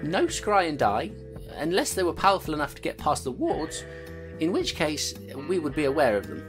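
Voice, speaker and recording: A tough, wry constable in an audio drama, her voice low but swinging widely. She talks bluntly and guards what she says. Music plays behind her. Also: big pitch swings, normal speed. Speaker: constable narrating her case. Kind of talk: audio drama narration and dialogue.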